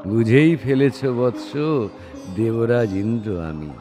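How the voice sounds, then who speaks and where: A man's voice: mid-range voice, animated, slow pace. A man voicing a lofty, persuasive king of the gods in a grand, declamatory recitation of an epic verse drama. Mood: taken aback, reluctant. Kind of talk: dramatic Bengali poetry recitation with dialogue. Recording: studio voice over background music. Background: background music.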